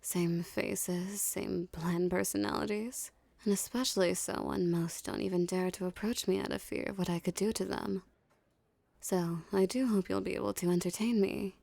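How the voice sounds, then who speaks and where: A woman playing a dominant, seductive mafia boss in an ASMR roleplay, with a soft, teasing, condescending drawl and menacing self-assurance. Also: medium pitch, steady tone, normal speed, creaky, dry recording.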